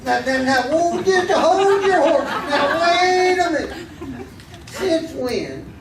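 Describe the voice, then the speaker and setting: An excitable, simple-hearted western sidekick for a comic radio play. The voice is very high and slow, tripping over repeats. It comes from an echoey stage with a noisy audience. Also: male voice, over a noisy crowd, exaggerated voice. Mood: flustered. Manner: flustered, stammering repetition. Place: live stage in a hall with audience. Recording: distant, echoey hall, loud audience noise.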